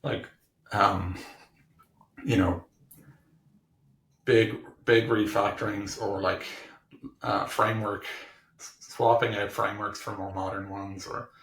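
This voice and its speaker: A man being interviewed as a technology executive, explaining things casually in halting fragments as he thinks aloud. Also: fairly low pitch, flat delivery, fairly bright tone. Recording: roomy, echoing room sound.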